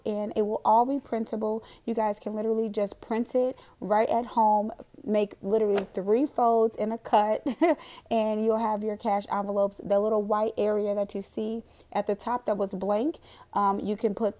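Hoarse woman vlogger recovering from a cold. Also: mid-range voice, dark tone. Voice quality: raspy. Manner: chatty, rambling, with frequent "um" and laughs. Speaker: planner and budgeting vlogger. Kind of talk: casual voiceover narration. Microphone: voiceover recorded separately from the footage.

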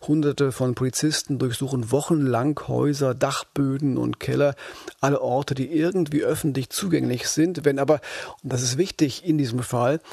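A man narrates a true-crime podcast as a reporter. His voice is medium in pitch with a lot of gravelly fry. He explains things in a serious, orderly way. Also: darkish tone.

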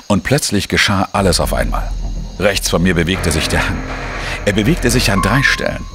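An actor playing a world-weary investigative journalist in a crime radio drama, speaking in a measured, sombre, terse way. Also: male voice, sits low, expressive. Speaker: narrator and lead actor. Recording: music behind the voice.

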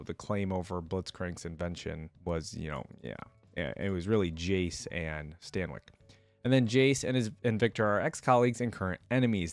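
A man's voice: fairly low pitch, no room sound. A young man giving casual reaction commentary, reading aloud and musing conversationally into a close desk microphone. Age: young adult. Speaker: reaction video host. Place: indoor room at a computer desk. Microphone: close desk microphone with headset on.

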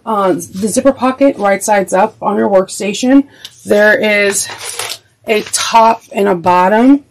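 Woman with a cold-cracked, gravelly voice. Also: medium pitch, rough recording. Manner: chatty, thinks aloud while working. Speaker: sewing instructor. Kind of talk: casual sewing tutorial. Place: craft room at a sewing table.

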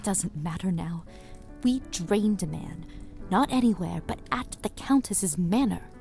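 A woman's voice: lowish voice, expressive, unhurried, creaky, dark tone. A woman playing a hard, self-serving vampire guest in a video game, curt and scornful. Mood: cold, accusing. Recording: music behind the voice.

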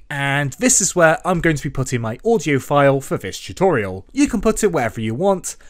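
A man narrates a screen-recorded tutorial in a somewhat high voice. His pitch moves up and down a great deal as he explains each step in an instructional way. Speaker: tutorial presenter.

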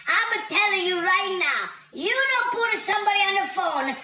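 A man's voice: very high-pitched, animated, moderate pace, bright, forceful tone, echoey room, exaggerated voice. A man voicing a grumpy older Italian immigrant man with an Italian accent, complaining on a customer service line in broken English. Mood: furious. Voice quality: broken English, hot-tempered, threatening. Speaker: caller.

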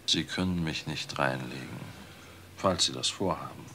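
A man's voice: rumbling low, moderate pace, sharp tone, a little hiss or hum, full character voice. A man playing a suspicious, needling crook in a crime radio drama. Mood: wary, needling.

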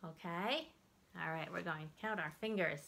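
A middle-aged American woman hosting a children's storytime, reading aloud and explaining in an expressive, deliberate way for young listeners. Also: lowish voice, gravelly. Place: indoors, plain-walled room.

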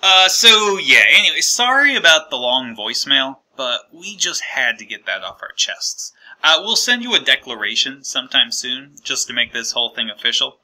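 A man's voice: medium pitch, expressive, normal speed, hardly any pauses, pushed and bright, poor audio. A man playing the American colonies as an awkward, fed-up partner leaving a breakup voicemail in a hesitant, conversational, aggrieved way. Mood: resolute, relieved. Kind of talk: comedic monologue as a breakup voicemail.

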